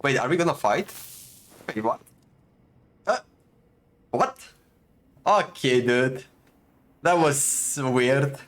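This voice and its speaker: A man's voice: mid-range voice, animated, takes it slowly, long pauses, fairly bright tone. A man giving laid-back, slangy, amused reaction commentary while playing a game. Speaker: gameplay streamer. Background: game music.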